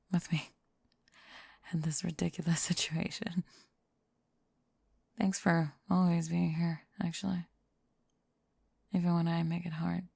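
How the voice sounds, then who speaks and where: A woman playing a prickly but secretly affectionate tomboy friend in an ASMR roleplay, sleepy and often whispering, deadpan and grudgingly tender. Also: on the low side, creaky, slightly rough, dry recording, studio quality, pitched below the performer's own voice, exaggerated voice. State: drowsy. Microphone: close ASMR microphone.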